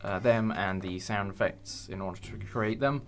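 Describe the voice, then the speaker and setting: A man's voice: lowish voice, normal speed, faint music behind. A young man hosting a casual behind-the-scenes chat about a production, speaking in a hesitant, appreciative way. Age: young adult. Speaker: host and audio drama producer.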